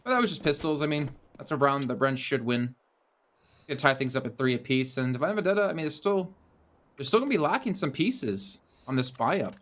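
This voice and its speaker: Male esports caster, mid pitch, faint noise behind; teasing, dry banter between rounds. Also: animated, brisk, fairly bright tone. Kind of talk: banter and play-by-play commentary.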